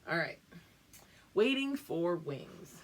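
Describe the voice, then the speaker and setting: A woman teacher reading a picture book aloud to young pupils, drawing out the story and explaining it as she goes. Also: on the low side. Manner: expressive, drawn-out reading with teacherly explanations and check-ins.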